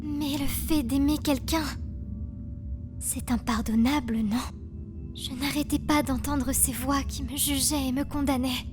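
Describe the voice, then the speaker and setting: A woman voicing a gentle, fragile, lovesick prisoner in her twenties, sugary and airy, in a French anime fan dub. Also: high-pitched, steady tone, unhurried, music in the background. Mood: wounded, lovesick. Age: 20s.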